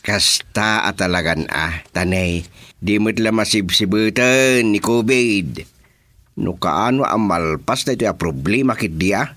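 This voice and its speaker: Drawling, grumbling husband. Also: male voice, lowish voice, measured pace, a bit bright, dry recording. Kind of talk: domestic radio comedy-drama acting.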